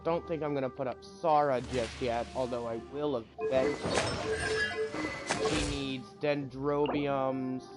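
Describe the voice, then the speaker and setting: A man's voice: medium pitch, fairly even intonation, unhurried. Man muttering over game music. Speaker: game streamer. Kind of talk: casual gameplay commentary, thinking aloud.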